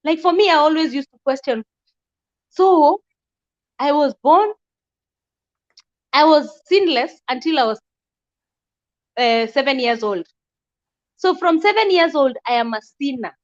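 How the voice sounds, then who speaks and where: Outspoken young woman, high voice, muffled lo-fi audio. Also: expressive, measured pace, long pauses. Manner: blunt, outspoken, emphatic. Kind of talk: casual discussion.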